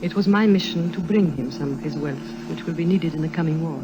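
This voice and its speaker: A woman playing a secretive, formal young schemer in an old radio drama, speaking in a measured, dramatic way. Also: low voice, highs cut off, lightly acted. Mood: grave, confiding. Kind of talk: 1950s radio drama acting. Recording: vintage radio drama recording.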